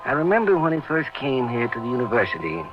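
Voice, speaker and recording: A dull, lo-fi vintage radio play features an actor's take on an earnest male doctor. His voice is of medium pitch, and his manner is polite and a little hesitant. He sounds like a loyal, deferential colleague. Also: very animated, fast, music in the background, lightly acted. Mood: puzzled probing. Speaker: actor in a horror radio drama. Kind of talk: old-time radio acting. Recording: muffled old radio drama recording.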